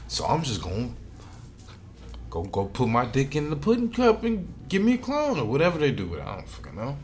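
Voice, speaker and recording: A man's voice: mid-range voice, huge pitch swings, fairly quick, slight background noise. A man with an American accent co-hosting a podcast in casual, profane, slangy banter. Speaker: podcast co-host.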